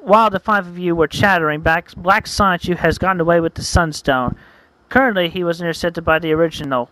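A man doing a calm wise psychic hedgehog. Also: on the high side, brisk, no echo, rough recording. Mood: commanding.

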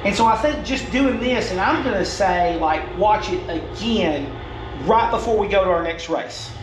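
A man's voice: high-pitched, animated, moderate pace, background noise. A middle-aged man patiently walking a driver through race footage, explaining in a stop-start way at a desk indoors. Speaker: racing coach. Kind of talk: reviewing race footage, coaching. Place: indoor room at a desk with a laptop. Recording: roomy echo with music playing underneath.

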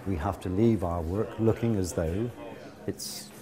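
A man's voice: sits low, a little slow, crowd noise. A man tutoring a stonework course, explaining on site with emphatic, vivid phrasing.

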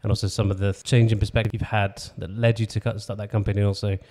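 A man's voice: lowish voice, little pitch movement, fast, mellow. A man hosting a podcast, asking casual interview questions.

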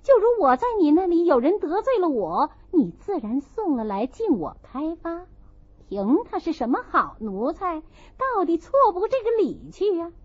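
A woman narrator playing a proud, sharp-tongued young noblewoman who argues indignantly to justify herself. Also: very high-pitched, very animated, normal speed, voice pushed up. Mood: defensive. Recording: dull, lo-fi audio.

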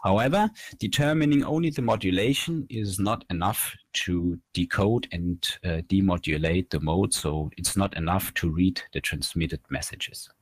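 A man's voice: low-pitched, moderate pace, hardly any pauses, husky, dry recording. A man in his thirties giving a technical slide lecture, explaining things step by step on a headset mic. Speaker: researcher giving a conference talk. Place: indoor room, recorded over webcam.

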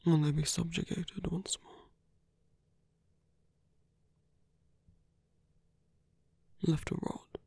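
A man voicing a seductive, possessive villain in an intimate ASMR roleplay, coaxing slowly in a breathy whisper. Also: a little high, little pitch movement, warm tone, no room sound, exaggerated voice. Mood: low coaxing.

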